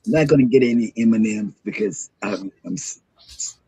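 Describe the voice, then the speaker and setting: A man hosting a morning show in casual, rambling chat, with a throaty, hoarse voice from a sore throat. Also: fairly low pitch, moderate pace, dark tone, low-quality recording.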